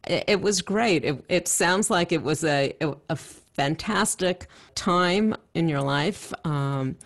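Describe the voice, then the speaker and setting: A woman with a Long Island accent hosting an interview, asking measured, conversational questions. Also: fairly low pitch. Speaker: interview host.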